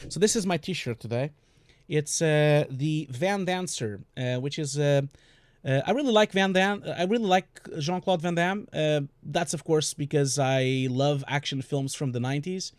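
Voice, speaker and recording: A man's voice: on the high side, moderate pace, no room sound. A man with a Portuguese accent chatting casually on a live stream, answering questions, rambling with many fillers and restarts. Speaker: streamer and compositing teacher.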